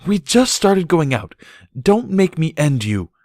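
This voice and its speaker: A man's voice: on the high side, big pitch swings, measured pace, no room sound, clean studio sound, voice pushed up. A man voicing an indignant, easily flustered teenage female pony in a storybook reading, with exasperated delivery. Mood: angry, threatening. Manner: loud, exasperated outbursts.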